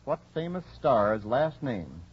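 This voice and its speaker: A man's voice: medium pitch, very animated, takes it slowly, husky. A man co-hosting a 1940s radio quiz show, bantering in a jokey, folksy way. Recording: muffled, noisy old radio broadcast with audience. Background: studio audience and noise.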